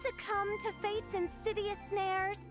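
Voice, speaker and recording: A woman's voice: very high-pitched, flat delivery, measured pace, loud music behind the voice. A woman voicing a grandiose, melodramatic teenage girl in a video game, declaiming in archaic, pompous style. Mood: dramatic lament.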